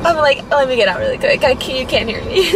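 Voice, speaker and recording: A woman's voice: high-pitched, expressive, fast talker. A young American woman chatting casually in a slangy, giggly way, with some swearing, inside a car. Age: young adult. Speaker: vlogger. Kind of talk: casual chat. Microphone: camera in the car. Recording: noisy car interior with vehicle noise.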